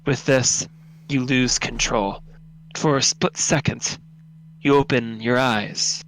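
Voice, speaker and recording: A man's voice: medium pitch, fairly even intonation, slow pace, fairly bright tone, a little hiss or hum, poor audio. A man narrating a second-person horror story in a dramatic, solemn, intense reading.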